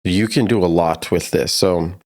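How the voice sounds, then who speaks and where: Low-pitched man giving a casual tutorial. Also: measured pace. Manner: informal, explains step by step. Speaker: music production tutor.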